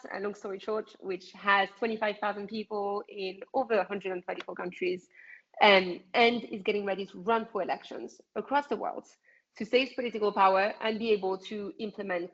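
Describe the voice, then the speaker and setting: A woman with a French accent speaks bluntly and passionately in a mid-range voice, often pausing on ums. Her answers come over a video call with slightly muffled audio. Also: medium pitch, steady tone, a bit bright. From France. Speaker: activist guest. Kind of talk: casual interview answers.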